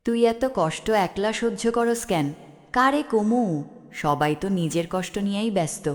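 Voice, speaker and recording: A woman plays a tender, sorrowful village girl in a cartoon drama, speaking with a rural Bengali accent. Her medium-pitched voice trails a long reverb. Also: animated, normal speed, lightly acted. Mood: tender, sad. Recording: reverberant recording.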